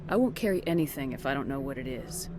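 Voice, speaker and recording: A woman's voice: very deep voice, animated, quick pace, noisy background. A woman playing a confident military space commander in a video game, direct and no-nonsense in manner. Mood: firm, businesslike.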